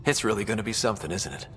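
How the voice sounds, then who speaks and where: A man's voice: fairly low pitch, natural voice. A man playing an earnest young leader of space explorers in a video game, speaking plainly and sincerely. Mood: impressed.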